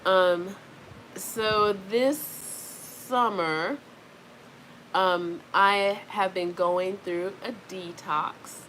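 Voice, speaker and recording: Slow, congested woman chatting at home. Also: fairly low pitch, fairly bright tone, low-quality recording. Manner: rambling, chatty, frequent fillers. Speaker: wellness vlogger. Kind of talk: casual talking-to-camera vlog. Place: home with fans running. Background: faint fan noise.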